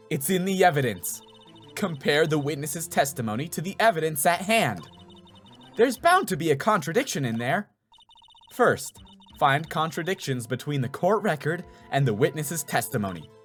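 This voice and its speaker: A man's voice: on the high side, very animated, normal speed, breathy edge, soft background music. A man voicing a confident mentor lawyer in a video game, instructive and emphatic. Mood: encouraging. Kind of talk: dramatic video game dubbing.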